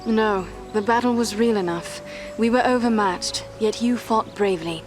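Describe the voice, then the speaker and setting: A woman's voice: mid-range voice, close to an everyday voice. Composed female elf warrior played by an actress, music behind. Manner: formal, composed, deliberate phrasing. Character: courteous, earnest elven warrior on a quest. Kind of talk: dramatic fantasy video game acting.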